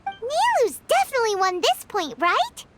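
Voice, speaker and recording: A woman voicing a small, childlike, loyal sidekick in a video game, eager and excitable. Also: very high-pitched, normal speed, soft background music, heavily acted. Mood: excited, worried. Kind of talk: scripted video game voice acting.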